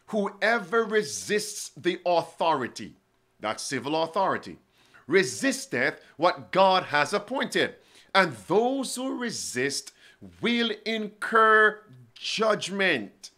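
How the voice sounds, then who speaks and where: A man's voice: sits high, bright, forceful tone. A man in his forties preaching to camera from a studio desk, reading quotations aloud and asking his listeners pointed questions. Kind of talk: prophecy sermon to camera. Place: indoor studio with bookshelves.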